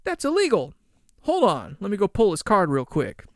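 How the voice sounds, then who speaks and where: Man putting on a nitpicking street cop, high voice, petty, officious. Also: huge pitch swings, normal speed, no echo, studio quality, heavily acted.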